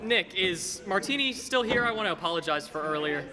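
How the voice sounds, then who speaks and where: A man's voice: high voice, expressive, a little fast, a crowd in the background. A teenage man acting a bewildered, stammering, agitated family man in a radio play. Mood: unsettled, friendly. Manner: stammering, flustered. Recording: noisy echoey hall stage recording.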